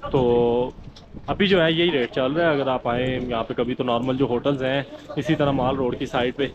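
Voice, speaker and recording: A man's voice: medium pitch, steady tone, moderate pace, muffled recording. A man with a Pakistani Urdu accent, chatting casually as a travel vlogger walking a snowy street and laughing at his own remarks. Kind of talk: casual walking vlog commentary. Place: outdoors on a snowy busy street. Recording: crowded outdoor street noise. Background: crowd chatter.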